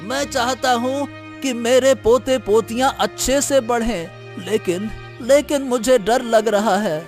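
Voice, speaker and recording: A man voicing a worried elderly village grandfather who talks hesitantly, with halting starts. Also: very high voice, soft background music, exaggerated voice. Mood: fearful. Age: older. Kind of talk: cartoon story voice acting.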